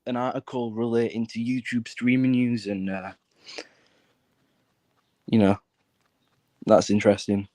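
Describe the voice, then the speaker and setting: A British man talking casually and bluntly, with plenty of swearing. His pitch sits in the middle for a man, and the sound is dry with no room on it. He presses his points insistently. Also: little pitch movement, unhurried, pauses often, darkish tone, no room sound. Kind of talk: casual chat.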